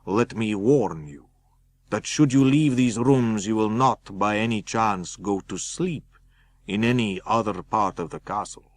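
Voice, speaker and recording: Man acting a slow, commanding vampire count. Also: on the low side, natural voice. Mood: stern warning. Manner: slow, imperious, forceful. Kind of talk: dramatic audiobook character reading.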